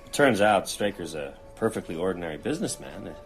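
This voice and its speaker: An actor playing a thoughtful, wry male novelist in an audio drama, measured and a little hesitant. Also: low-pitched, big pitch swings, creaky, soft background music, full character voice, low-quality recording. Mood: wry, casual. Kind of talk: audio drama narration and naturalistic dialogue.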